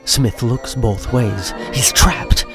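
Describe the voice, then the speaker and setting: A man's voice: medium pitch, slow. Male narrator with music behind. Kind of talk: scripted audio drama narration. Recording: music under the voice.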